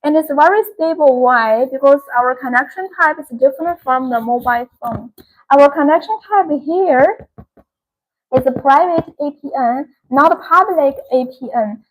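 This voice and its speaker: High-pitched woman, live-stream seller, product demonstration, instructional, repeats key points. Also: normal speed, poor audio. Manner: repetitive, instructional presenting.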